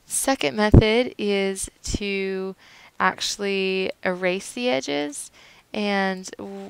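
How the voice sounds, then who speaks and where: A young woman, a little hoarse from a cold, casually talking through a software tutorial with fillers and self-corrections. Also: medium pitch, dry recording, low-quality recording. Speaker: tutorial host.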